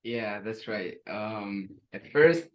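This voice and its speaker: A man's voice: fairly low pitch, slow pace. A young man telling his story on a student panel, hesitant and full of fillers, laughing now and then. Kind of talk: casual personal story. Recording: dull lo-fi recording.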